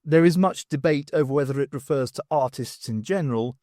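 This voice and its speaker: A man's voice: mid-range voice, fairly quick, mellow, no room sound, clean studio sound. A man reading scripted story narration as the narrator of an audiobook.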